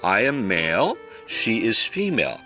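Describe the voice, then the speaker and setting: A man's voice: on the low side, huge pitch swings, measured pace, bright, forceful tone, soft background music. A man from Canada giving a sarcastic, chuckling commentary monologue as a channel host. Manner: sarcastic, chuckling, mock-polite.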